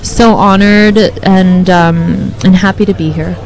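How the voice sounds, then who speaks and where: A woman speaking earnestly as an interview guest, sincere and measured with small pauses. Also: lowish voice, steady tone, crowd noise, poor audio. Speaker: interview guest, cancer center operator.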